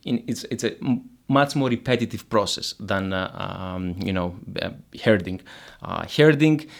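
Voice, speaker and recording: A man's voice: fairly low pitch, lively intonation, unhurried, gravelly. A man from Greece, explaining his economics research in an interview, full of fillers and restarts. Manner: hesitant, many fillers and restarts. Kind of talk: academic interview.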